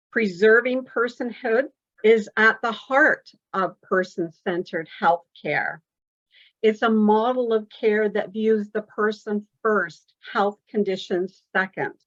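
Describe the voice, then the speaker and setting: A woman presenting at a webinar, reading definitions in a measured way. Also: mid-range voice. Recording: muffled webinar audio.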